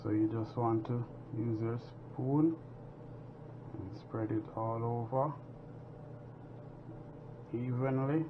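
Man, from Jamaica, cooking show host, unhurried chatty delivery, home kitchen, muffled lo-fi audio, audible background noise. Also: medium pitch. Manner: relaxed, chatty, proud of his cooking. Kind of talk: casual cooking demonstration.

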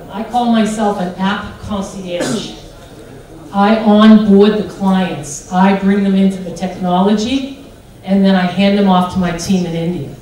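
A middle-aged American woman giving a lively, joking conference talk in a large hotel hall. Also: medium pitch. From United States. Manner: big, animated, joking delivery. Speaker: conference speaker. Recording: echoey hall, PA from far off.

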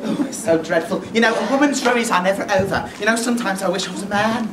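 A man's voice: high voice, fast, lightly acted. An echoey, distant theatre recording with heavy noise. A man plays a bawdy, flustered pantomime dame, a middle-aged mother who hams it up and chats straight to the house. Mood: flustered, complaining. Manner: exaggerated, chatty, playing to the crowd. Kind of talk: Christmas pantomime stage acting with audience address. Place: theatre stage before an audience. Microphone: far camera. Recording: echoey theatre stage, distant noisy camera mic.

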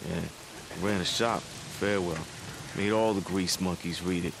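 An actor playing a chatty, evasive camp mechanic in a video game, rambling in a folksy way. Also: male voice, low-pitched, steady tone, a little slow, noisy background. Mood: easygoing, philosophical. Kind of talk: naturalistic cinematic game acting.

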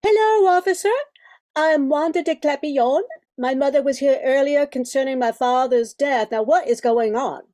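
A woman's voice: high-pitched, moderate pace, warm tone, natural voice. An older woman acting a spirited, protective grown daughter in an amateur radio play, speaking emphatically. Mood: indignant, firm. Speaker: amateur radio drama performer.

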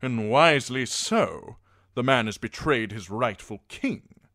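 A man voicing a proud, aggressive knight in an audiobook, loud and declamatory. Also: mid-range voice, expressive, measured pace, pitched above the performer's own voice. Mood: vehement, scornful. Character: aggressive, indignant male knight, bloodthirsty and proud.

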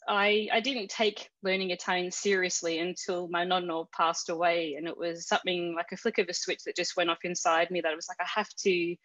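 Chatty Australian woman, low dull sound. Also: level tone, a little fast, few pauses, a bit bright, no echo. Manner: chatty, many fillers and restarts. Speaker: interview guest. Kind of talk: casual personal storytelling.

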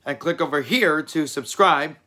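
A hoarse young man hosting a reaction video, rambling and correcting himself as he talks in a small room. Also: fairly high pitch, normal speed, pushed and bright. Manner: rambling, self-correcting, chatty. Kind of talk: casual reaction commentary.

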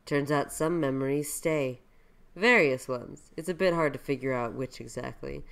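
A dubber voicing a brooding, scheming man who muses slowly and quietly over his plans in a comic dub. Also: medium pitch, vocal fry. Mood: musing, ominous.

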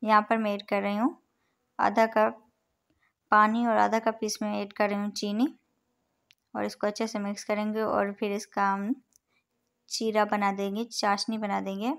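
A woman with an Urdu-influenced accent narrating a recipe step by step as a cooking channel host, calm and instructive as she counts out each measure. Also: medium pitch, measured pace, gravelly, long pauses. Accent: Urdu-influenced Hindustani. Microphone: voice-over over overhead cooking shots.

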